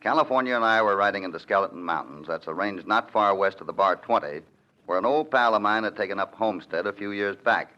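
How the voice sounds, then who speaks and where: An actor playing a calm, steady cowboy hero narrating his own story, from a 1951 radio broadcast. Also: lowish voice, quick pace. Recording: dull 1951 radio recording.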